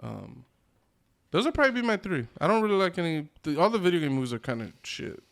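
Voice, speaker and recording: A man's voice: fairly high pitch, sing-song, fast, gravelly. A man hosting a podcast in casual, opinionated chat, emphatic and slangy, often punctuating points with a checking "okay?". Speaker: podcast host.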